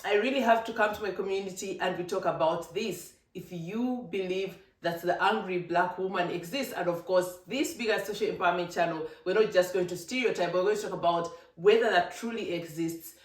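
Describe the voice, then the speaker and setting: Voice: mid-range voice, brisk, hardly any pauses. Vlogger, roomy distant sound. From East Africa. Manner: emphatic, animated, with laughing asides. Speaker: vlogger, channel host. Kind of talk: casual opinion monologue to camera.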